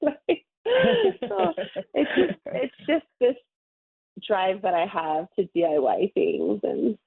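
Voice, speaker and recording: A woman's voice: mid-range voice, very animated, slow pace, vocal fry, slightly rough. A woman in her thirties from Hawaii, a guest who talks in a friendly, rambling way with a light laugh. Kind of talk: casual interview. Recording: tinny phone line.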